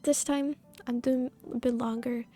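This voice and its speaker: A woman's voice: fairly high pitch, fairly even intonation, measured pace, mellow. A woman chatting casually as she live streams a game, giggly and meandering.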